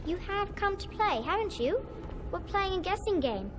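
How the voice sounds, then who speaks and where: A woman's voice: extremely high pitch, very animated, normal speed, noisy background. A woman voicing a playful, stubborn little girl with a childlike, sing-song manner. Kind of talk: video game cutscene voice acting. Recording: game audio with music behind.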